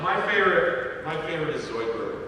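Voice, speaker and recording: A man's voice: a little high, lively intonation, brisk. A man who works as a voice actor, chatting casually and jokily on a convention panel. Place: large convention panel hall. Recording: echoey noisy hall, distant mic, loud crowd.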